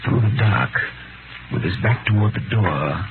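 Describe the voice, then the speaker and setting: An actor playing a discreet English gentleman from London who narrates a 1930s radio drama in a measured, solemn manner. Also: fairly low pitch, noisy background. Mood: solemn, descriptive. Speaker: narrator and character. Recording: narrow-band 1930s radio recording with music.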